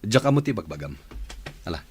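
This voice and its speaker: An actor playing a worried, guilt-ridden cheating husband in an Ilocano radio drama, speaking in a hesitant, anxious way. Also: male voice, fairly low pitch, very animated, fairly quick, a little mellow, a little hiss or hum. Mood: defensive.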